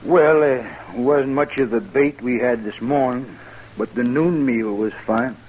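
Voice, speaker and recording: An actor playing a dim-witted, amiable outlaw prisoner in an old-time radio western, rustic and guileless. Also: male voice, medium pitch, measured pace, darkish tone, dull audio, lightly acted.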